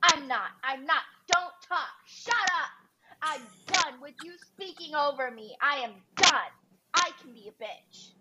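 A woman plays a combative, sweary fiancée in a reality-show blowout. Her voice is high and swings widely as she hurls accusations, with clear noise and clicks behind it. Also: expressive, measured pace, highs cut off. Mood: furious, hurt. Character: angry, foul-mouthed, insecure reality-show fiancée in a blowout fight. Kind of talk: dramatic reading of a reality TV argument.

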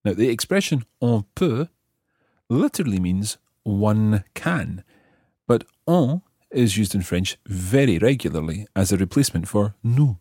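A man hosting a language lesson, explaining example phrases piece by piece with careful, measured articulation. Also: lowish voice, animated, dark tone, no echo, clean studio sound. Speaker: language teacher and host.

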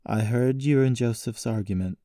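A man's voice: medium pitch, moderate pace, mellow, clean studio sound, voice pushed up, natural voice. A man voicing a protective, reassuring uncle with a soothing, earnest manner in audiobook dialogue. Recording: roomy echo, distant mic.

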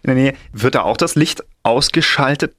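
A man's voice: medium pitch, moderate pace, fairly bright tone, no echo. A male radio host in casual podcast chat, asking curious questions.

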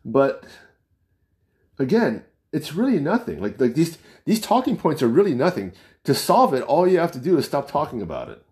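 A man's voice: fairly high pitch, very animated, normal speed, darkish tone. A man from the United States speaking off the cuff in an opinion monologue, full of rhetorical questions and restarts. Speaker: political commentator.

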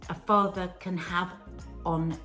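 A middle-aged woman with a slightly low voice talks through a film she first disliked. Her telling is reflective and grows animated. Faint music sits under her voice. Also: slow pace. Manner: reflective, animated storytelling with laughs. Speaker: film podcast co-host. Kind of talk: casual chat reviewing films.

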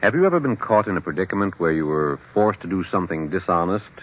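An actor playing a stern, probing psychiatrist in a 1940s radio drama, with measured clinical questioning on a muffled old recording. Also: male voice, low-pitched, expressive. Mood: probing, detached.

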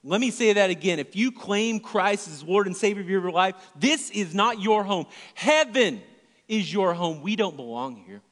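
A man's voice: high-pitched, lively intonation, normal speed. Preaching man in a reverberant hall. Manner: earnest preaching with wide swings in loudness, dropping to near-whispers. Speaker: pastor. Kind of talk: sermon.

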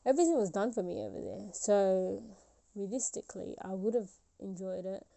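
A woman's voice: mid-range voice, normal speed, vocal fry, mellow. A woman of about twenty hosting her own podcast, talking reflectively and rambling with many fillers and restarts. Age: 20s. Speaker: podcast host. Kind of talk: solo reflective podcast talk.

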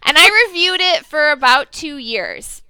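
A woman's voice: sits high, expressive, a little slow, bright, forceful tone, dry recording, low-quality recording. A woman co-hosting a casual podcast chat about TV shows, slightly nasal, rambling and self-correcting with frequent laughs. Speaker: podcast co-host and TV reviewer.

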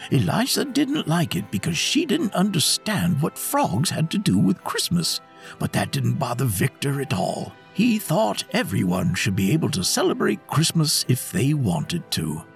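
A man narrating a scripted children's story in an expressive, dramatic way. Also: medium pitch, unhurried, creaky, soft background music.

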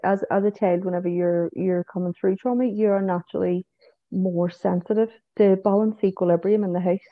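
A woman's voice: medium pitch, steady tone, brisk, no echo. A woman with a Northern Irish accent, a guest chatting casually in an interview, who repeats herself and tags sentences with "you know" and "so it is". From Northern Ireland. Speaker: podcast guest, psychic medium. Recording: tinny phone-like line.

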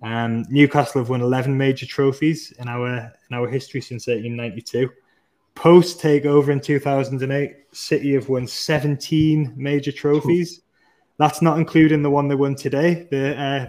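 A man from Australia hosting a casual podcast, with a middle pitch. He is chatty and walks through figures in an easygoing way. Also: steady tone, moderate pace, a little mellow. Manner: chatty, reading out stats. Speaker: podcast host.

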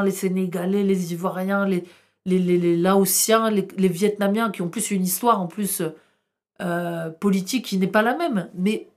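A middle-aged woman historian talks with passion in a podcast interview. She asks rhetorical questions and pauses often with hesitations. Her voice sits at an ordinary middle pitch. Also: a little mellow. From France. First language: Arabic. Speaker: historian guest.

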